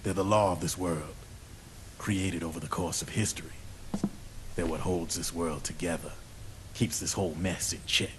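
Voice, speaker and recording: An actor playing a slick, cynical arms dealer in a game cutscene, explaining smugly in folksy slang. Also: male voice, low voice, steady tone, moderate pace, pauses often, noisy background, natural voice. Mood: matter-of-fact, cynical. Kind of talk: video game cutscene acting.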